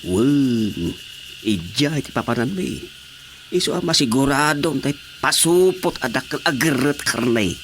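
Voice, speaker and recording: Evasive husband, medium-pitched, easygoing in radio comedy, faint noise behind. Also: male voice, lively intonation, unhurried, a bit bright. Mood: casual, defensive. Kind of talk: comedy radio drama acting.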